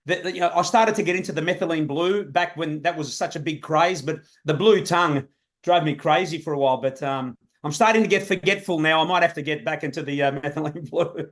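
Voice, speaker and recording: Casual rambling man, slightly high voice. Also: brisk, a bit bright. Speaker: guest affiliate. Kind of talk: casual chat on a video call.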